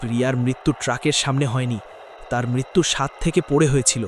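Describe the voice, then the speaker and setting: A man playing an earnest young man who warns and explains gravely in a cartoon drama. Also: mid-range voice, fairly even intonation, quick pace, slight background noise, natural voice. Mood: earnest concerned. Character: earnest, urgent young man warning his friend.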